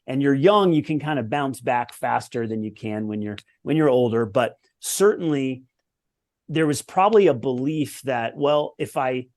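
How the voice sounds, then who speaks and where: A man's voice: medium pitch, moderate pace, a little mellow. Reflective man in his forties. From United States. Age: 40s. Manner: reflective, earnest, with lists and restarts. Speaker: podcast guest, former pro tennis player. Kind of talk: conversational interview storytelling.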